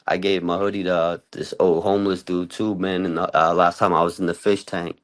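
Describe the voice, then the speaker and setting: A man's voice: low voice, flat delivery, fairly quick. A man talking casually and in slang, as a commentator on a talk show.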